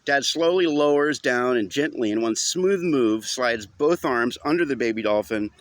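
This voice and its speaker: Male memoir reader, mid-pitched, casual and wry, conversational storytelling. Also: lively intonation, moderate pace, no echo. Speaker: audiobook narrator. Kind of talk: first-person storytelling, conversational reading.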